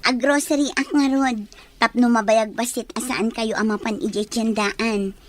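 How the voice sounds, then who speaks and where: A woman's voice: a little high, steady tone, measured pace, natural voice. A woman acting as an earnest, caring young working daughter in an Ilocano audio drama. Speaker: drama actress. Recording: clear background noise and ambience.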